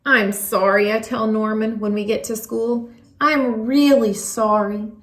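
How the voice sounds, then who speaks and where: A woman reads a children's story aloud in an expressive, animated way, leaning on words for emphasis. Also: a little high, a little slow, echoey room. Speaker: storybook reader.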